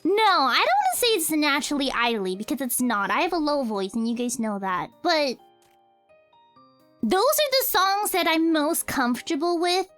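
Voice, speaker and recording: A young woman with a very high voice chats on a livestream, rambling and giggling as she answers viewer questions. She often corrects herself mid-sentence. Soft music plays faintly behind her. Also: very animated, moderate pace. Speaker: streamer and cover singer. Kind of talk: casual livestream Q&A chat.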